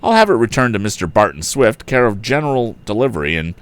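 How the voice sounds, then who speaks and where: A man's voice: on the low side, very animated, fast talker. A man voicing an obliging small-town post office clerk in a storybook, folksy and businesslike.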